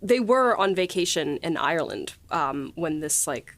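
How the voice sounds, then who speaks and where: A woman hosting a casual behind-the-scenes podcast chat, speaking effusively and quick to laugh. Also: deep voice, animated, slight background noise. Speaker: podcast host and audio drama writer.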